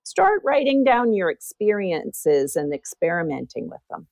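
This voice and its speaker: A woman's voice: lowish voice, big pitch swings, measured pace, gravelly, darkish tone, no room sound. A woman guest and former teacher with a big voice, telling personal stories in an interview. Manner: big voice, anecdotal storytelling. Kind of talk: interview storytelling.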